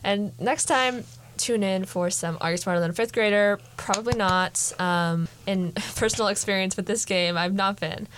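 A woman's voice: mid-range voice, normal speed, few pauses. Young female co-host leading a casual word game, mid-range voice, lightly noisy recording with clicks. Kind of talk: casual chat, calling out game rounds.

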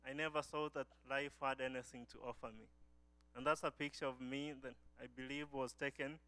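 A man's voice: fairly high pitch, sharp tone, clear, resonant tone, no room sound. A young man from Uganda giving an earnest church testimony as a guest speaker, often restarting his phrases.

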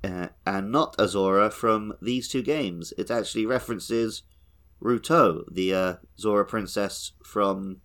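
A man's voice: lowish voice, unhurried, a bit bright. A man co-hosting a podcast, talking casually through a video game discussion. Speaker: podcast co-host.

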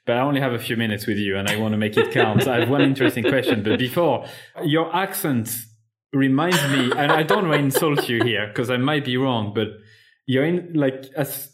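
French-accented male podcast host; casual and laughing, a little halting. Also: medium pitch. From France. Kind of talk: podcast interview.

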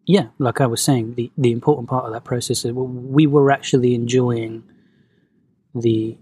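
A man, born in Venezuela, talking as a podcast guest in a soft-spoken, hesitant, reflective way. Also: mid-range voice, fairly even intonation, moderate pace, breathy edge, no echo. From Venezuela, raised in London. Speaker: guest, movement coach. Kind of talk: podcast conversation.

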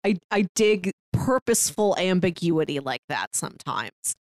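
A woman co-hosting a casual TV review podcast, giving measured, thoughtful opinions. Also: lowish voice, expressive, no room sound. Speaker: podcast co-host.

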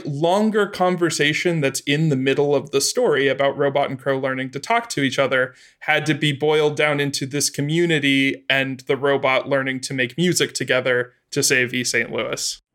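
A man's voice: medium pitch, bright, forceful tone. Man chatting as an interview guest. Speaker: guest, audio drama adapter. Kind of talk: interview, casual conversation.

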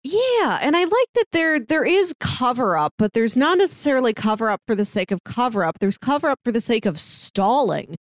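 A woman's voice: on the high side, sing-song, moderate pace, few pauses, dry recording, studio quality. A woman talking casually as co-host of a podcast discussion. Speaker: podcast co-host reviewing an audio drama.

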